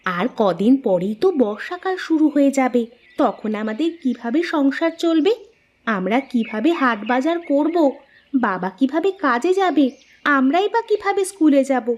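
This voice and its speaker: A woman's voice: sits high, expressive, unhurried, a little mellow, muffled recording. A woman voicing a fretful, earnest young village schoolgirl with a plaintive, questioning manner in a cartoon. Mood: worried. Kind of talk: Bengali moral-story cartoon acting. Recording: music behind the voice.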